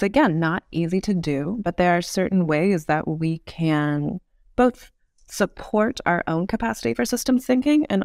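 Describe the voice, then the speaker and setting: Woman podcast guest talking through ideas, lots of vocal fry, dry close sound. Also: sits low, a little mellow, dry recording. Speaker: podcast guest, futurist author. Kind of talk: thoughtful interview conversation.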